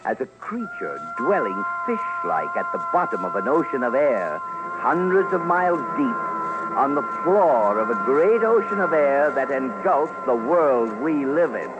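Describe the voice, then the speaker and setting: Very animated vintage radio commentator, male. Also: medium pitch, unhurried. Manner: theatrical, playful, direct address to listeners. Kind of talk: dramatic scripted radio documentary narration. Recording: dull vintage radio, loud music behind.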